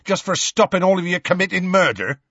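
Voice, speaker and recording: Guy putting on a huge blustery gamekeeper, gruff n husky, blunt storybook character, pitch all over the place. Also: very animated, a little fast, fairly bright tone, no echo, highs cut off, full character voice. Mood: testy. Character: big gruff man, testy, stubborn, warm-hearted gamekeeper.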